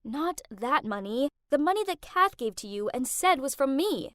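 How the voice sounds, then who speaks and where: A gruff young man, curt and resentful, as a woman reads him out. He talks with clipped edges, and the sound is dead dry with no room around it. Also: very high-pitched, very animated, moderate pace, a bit bright, no room sound, full character voice. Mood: cold.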